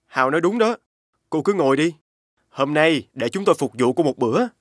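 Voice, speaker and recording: A man voicing a humble, kind elder brother who travels selling medicine, gentle and respectful, in an animated fairy tale. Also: on the high side, a little fast, no room sound, clean studio sound, natural voice.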